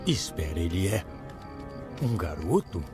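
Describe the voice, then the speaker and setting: Slow, low-voiced cartoon villain, Brazilian. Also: male voice, sing-song. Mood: conspiratorial. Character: menacing adult male villain, slyly amused, takes orders. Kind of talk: animated film dubbing. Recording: loud music under the voice.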